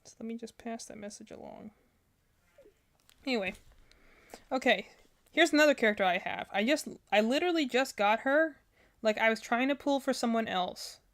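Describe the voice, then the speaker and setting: A woman with a slightly high voice talks casually as a streamer reacting to game videos. Her commentary is unscripted and rambling, as she thinks out loud through each opinion. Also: normal speed, long pauses.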